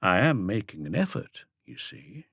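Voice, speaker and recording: A man's voice: lowish voice, big pitch swings, normal speed, a bit bright, breathy edge, dry recording, exaggerated voice. Male narrator doing a posh cold wife, curt and formal, coolly defiant, audiobook mystery, dry sound. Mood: haughty. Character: composed, imperious married society woman, coolly defiant.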